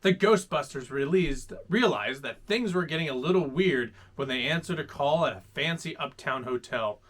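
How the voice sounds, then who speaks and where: A man hosting a children's story time, reading aloud in a playful, dramatic, animated way. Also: fairly high pitch, moderate pace. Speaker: host reading children's stories.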